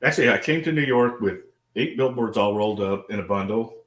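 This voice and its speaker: A man's voice: lowish voice, brisk, a bit bright, echoey room. An American man telling casual stories as an interview guest, rambling and sweary, with stammered repeats. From American Midwest. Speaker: guest artist. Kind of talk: casual anecdotal storytelling in a live interview.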